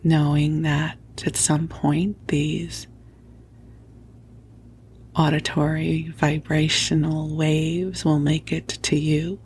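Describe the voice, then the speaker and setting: A woman speaks in a slow, hushed whisper with a crackly, creaky edge. She is tender and soothing, like a guide easing a listener to sleep with spiritual talk. The microphone is very close, with a faint noise behind her. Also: sits low, level tone. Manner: soothing, tender, unhurried, affectionate. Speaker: sleep podcast host and spiritual teacher. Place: at home under a blanket.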